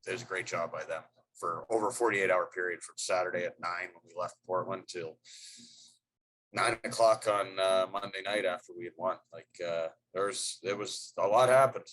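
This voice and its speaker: A man's voice: low-pitched, moderate pace, a bit bright, rough recording. A middle-aged man, a hockey head coach, answering press questions in a loose, rambling way with many fillers and restarts.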